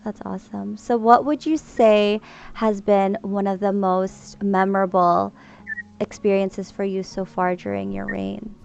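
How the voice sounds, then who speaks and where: A woman's voice: medium pitch, level tone, measured pace, creaky, darkish tone, highs cut off. A woman hosting an interview in a friendly, chatty way, laughing often. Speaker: podcast host. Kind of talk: casual interview.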